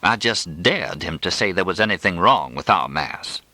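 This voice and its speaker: An arch, disapproving mother in an audiobook, acted out by a male reader. The delivery is pointed and gossipy, with sarcastic stresses and lively swings in pitch, recorded dry and close. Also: moderate pace, no room sound, exaggerated voice. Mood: dark, pointed. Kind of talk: audiobook character dialogue.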